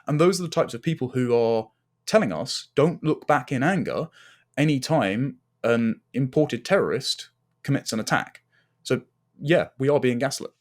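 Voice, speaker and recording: A man's voice: medium pitch, normal speed. Articulate, emphatic man. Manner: articulate, emphatic, builds long argumentative lists. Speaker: interview guest and commentator. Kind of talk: political commentary in a podcast interview.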